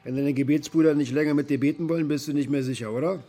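An actor playing a bitter, middle-aged former security contractor in a naturalistic radio drama, gruff, cynical and colloquial. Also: male voice, fairly high pitch, steady tone, fast talker, warm tone, faint music behind, close to an everyday voice. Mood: distrustful.

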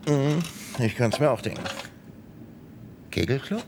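An actor playing a deliberate, conflicted small-town lawman in radio play dialogue. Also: mid-range voice, animated, background noise. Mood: probing, wary.

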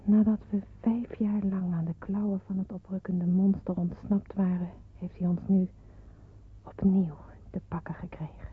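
Grave young narrator, very husky breathy voice, measured, radio play, muffled phone-like sound. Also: female voice, medium pitch, flat delivery. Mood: grave, somber. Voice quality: very breathy, hushed. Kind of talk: first-person dramatic narration.

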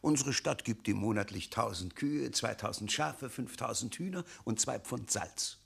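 An actor playing a middle-aged town father in a radio play, resigned and self-satisfied, explaining things placidly in a gravelly, husky voice. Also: medium pitch, expressive, normal speed, fairly bright tone, exaggerated voice. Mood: smugly defensive. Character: resigned, complacent townsman father defending the town's tyrant. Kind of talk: theatrical radio play acting.

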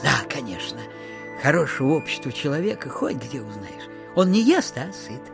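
Fussy, swooping old miser. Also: male voice, medium pitch, sing-song, moderate pace, creaky, music in the background. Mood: grumbling, sly. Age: older. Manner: muttering, fussy, exclamatory, drifting into grumbles. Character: miserly, suspicious, grumbling old landowner. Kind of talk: theatrical audio drama acting.

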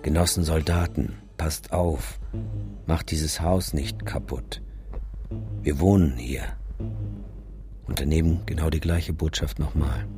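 A sardonic, sombre photographer tells his memories in a very deep voice. The delivery is measured and laconic, in radio drama style over clear music. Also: full character voice. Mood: grim, sardonic. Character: sombre, sardonic male photographer recalling a disaster.

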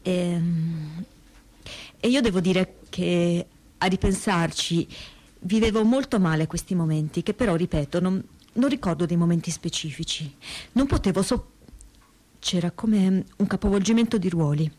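A woman acting a troubled, reflective daughter of a Holocaust survivor in a staged testimony reading, with searching, halting phrasing. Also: on the low side, darkish tone. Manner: hesitant, searching phrasing with pauses and repetitions. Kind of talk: dramatic reading of spoken testimony.

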